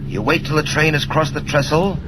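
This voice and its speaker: An actor playing a sinister masked crime boss in a 1940s radio drama, talking in a cold, slow, deliberate way. He sounds like an old radio recording. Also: a little high, noisy background, close to an everyday voice. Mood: menacing.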